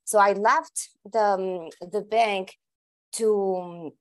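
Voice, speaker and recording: A woman's voice: medium pitch, fairly even intonation, drawn-out words. A Colombian woman whose first language is Spanish, answering interview questions in English with many restarts and repeated words as she thinks aloud. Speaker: guest.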